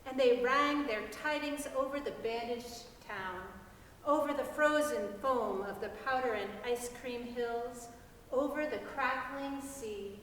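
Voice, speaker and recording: A woman giving an expressive, deliberate dramatic reading of a literary story. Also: fairly high pitch. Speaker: reader in a church's dramatic reading. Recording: very echoey hall, distant mic, faint noise.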